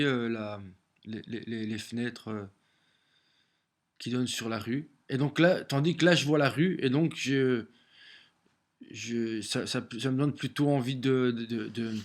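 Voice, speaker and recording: A middle-aged man with a head cold talks slowly to his camera from a room at home. He stumbles and repeats words often, his voice sitting at an ordinary pitch. The delivery is unhurried and loose, like thinking out loud. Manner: halting, many repeated words and restarts. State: has a cold. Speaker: vlogger sharing daily messages. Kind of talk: casual home video monologue. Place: small room at home, facing a window.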